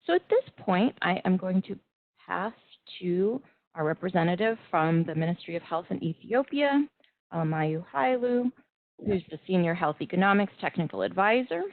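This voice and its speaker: A woman presenting and moderating a webinar, explaining in a measured way with occasional restarts. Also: lowish voice, animated. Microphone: video call. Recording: tinny phone-like web call audio.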